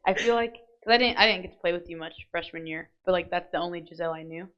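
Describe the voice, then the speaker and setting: A woman's voice: fairly low pitch, quick pace, highs cut off. A young woman hosting a casual student interview, teasing her guest in a playful, giggly way. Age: young adult. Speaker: student host, teammate of the guest.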